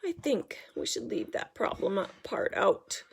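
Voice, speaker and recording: A woman's voice: medium pitch, wildly swooping pitch, a little slow, vocal fry, background noise, exaggerated voice. A woman voicing an eager, opinionated little girl who chimes in on a children's story.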